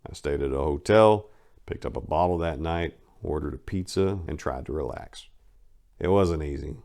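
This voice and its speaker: A man with a very low voice reads a memoir aloud with dramatic weight. He pushes hard on the big phrases and then drops into quieter, offhand asides as he comments. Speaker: narrator reading a memoir and commenting.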